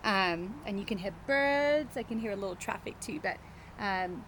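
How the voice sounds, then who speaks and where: Lively, expressive woman telling stories outdoors. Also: medium pitch, moderate pace, low-quality recording. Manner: encouraging, asks young listeners questions. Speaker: teacher reading a picture book to children. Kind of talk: animated story time read-aloud with chatty asides. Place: outdoors in a riverside garden, birds and faint traffic. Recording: faint outdoor birdsong and traffic noise.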